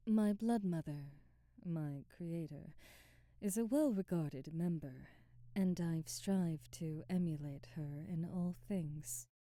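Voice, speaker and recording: Woman doing a haughty vampire lady for asmr roleplay, low soft whispery voice, formal wording, super close dry sound. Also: no room sound. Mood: proud, resentful. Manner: measured, formal, old-fashioned diction. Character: proud, ambitious female vampire aristocrat, remorseful, domineering captor. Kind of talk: intimate ASMR roleplay, scripted monologue.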